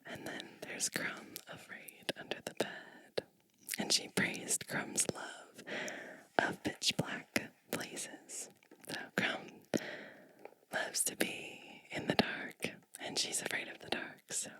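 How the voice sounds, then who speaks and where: Whispering woman, slow bedtime ASMR reading. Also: dry recording, poor audio. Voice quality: breathy unvoiced whisper. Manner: gentle, unhurried, pausing between short phrases. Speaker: ASMR storybook reader. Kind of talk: whispered ASMR storybook reading with chatty asides.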